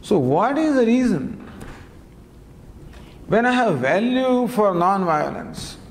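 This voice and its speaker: A man giving a spiritual discourse on scripture, teaching in a lively, gently humorous way with many rhetorical questions, recorded in a lecture hall. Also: high voice, takes it slowly. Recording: long hall reverb, faint background noise.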